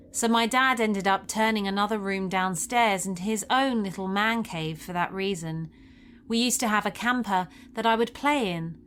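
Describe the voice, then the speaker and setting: A woman's voice: medium pitch, fairly bright tone. Woman narrating eerie tales over ambient noise. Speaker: story narrator. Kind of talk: scripted paranormal story narration.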